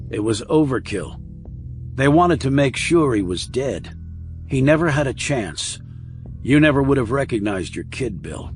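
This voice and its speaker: A man voicing a hard, calm military investigator in an audiobook thriller, cool, blunt and controlled. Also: mid-range voice, natural voice. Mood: cold, grim.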